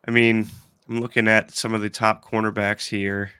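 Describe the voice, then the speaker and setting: Stuffy-nosed man, fairly low voice, casual sports pod host, rambling chat. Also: little pitch movement, moderate pace, bright, forceful tone, clean tone, dry recording, studio quality. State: congested from allergies. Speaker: podcast host.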